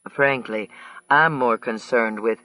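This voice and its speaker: A man voicing a tired, fair-minded governor in his sixties who speaks calmly. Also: mid-range voice, slow pace, voice pushed down, rough recording. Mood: weary. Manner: calm, tired. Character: weary, fair-minded older male governor.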